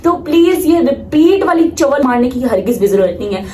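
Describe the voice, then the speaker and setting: A woman's voice: high-pitched, big pitch swings, normal speed, dark tone, echoey room. A woman in her late teens with a Pakistani accent talks casually to her viewers in an emphatic, opinionated way, mixing in English phrases. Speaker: student vlogger. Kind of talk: casual vlog talk to viewers.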